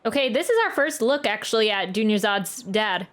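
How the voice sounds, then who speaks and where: A woman's voice: mid-range voice, expressive, normal speed, a bit bright. A woman streamer giving casual live game commentary, chatty and musing aloud, close to the mic. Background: game music.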